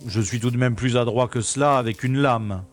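A man playing a cocky, excitable special agent in a science-fiction audio drama, theatrical, easily outraged and flustered. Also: mid-range voice, a little fast, soft background music, close to an everyday voice. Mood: indignant, wry. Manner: theatrical, indignant exclamations, flustered hesitations.

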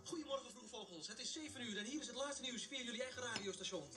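An actor playing a relentlessly cheerful morning radio DJ who reads the news briskly in a drama. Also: male voice, very high-pitched, lively intonation, loud music behind the voice. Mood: chirpy, upbeat. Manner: chirpy, brisk.

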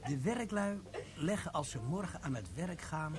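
A scheming young soldier, eager, coaxing, lively voice, radio play. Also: male voice, on the high side, normal speed, crowd noise. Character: scheming young male soldier plotting a heist.

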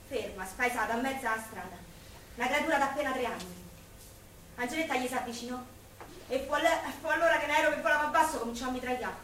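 A woman's voice: high voice, sharp tone. An actress playing a passionate working-class Roman woman of the wartime forties in an Italian stage drama. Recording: echoey theatre, distant mic, faint noise.

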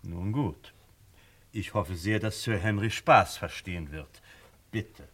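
An actor playing a stiff butler in a vintage radio crime play, formal, measured and condescending. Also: male voice, on the low side, a bit bright, slight background noise. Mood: haughty, formal. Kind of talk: vintage German radio crime drama acting.

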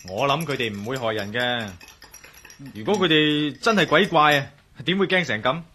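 A man's voice: mid-range voice, normal speed, sharp tone, slight background noise, close to an everyday voice. A man playing a composed Cantonese investigator. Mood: thoughtful. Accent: Hong Kong Cantonese. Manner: composed, reasoning, patient. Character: calm, rational male investigator, reassuring and lightly teasing. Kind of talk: radio drama acting.

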